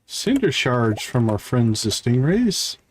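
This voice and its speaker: A man's voice: medium pitch, sing-song, takes it slowly, a little hiss or hum. A man giving casual live gameplay commentary, thinking aloud and chatting with viewers as he plays. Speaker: live streamer playing a farming game.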